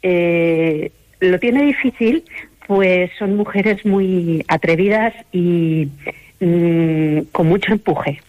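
A woman's voice: on the low side, very slow, highs cut off. A woman in her fifties from Madrid, a rural development worker talking as a radio guest, hesitant with many fillers and little laughs. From Madrid, Spain.

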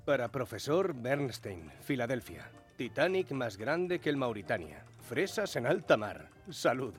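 An actor playing a keen, stubborn young telegraph operator of the 1910s in a radio drama. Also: male voice, medium pitch, sing-song, measured pace. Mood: amused, reading aloud. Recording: music behind the voice.

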